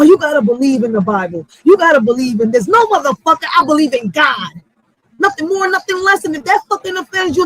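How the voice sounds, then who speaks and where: Fired-up high-pitched woman, blunt and streetwise. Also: no echo, rough recording. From Puerto Rico or Puerto Rican background. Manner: blunt, emphatic, street slang, repeats phrases for stress. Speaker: channel host. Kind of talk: heated, profane casual monologue.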